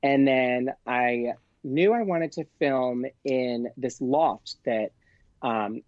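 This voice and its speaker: A man chatting casually as a podcast guest, telling stories in an animated way and acting out what people said. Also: mid-range voice, dry recording, highs cut off. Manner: animated, acts out quoted exchanges. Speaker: podcast guest, performer and producer.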